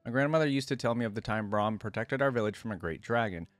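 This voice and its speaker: A man voicing a kindly old grandmother who gently coaxes a child with a bedtime story. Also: lowish voice, fast, no room sound, close to an everyday voice. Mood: coaxing, musing.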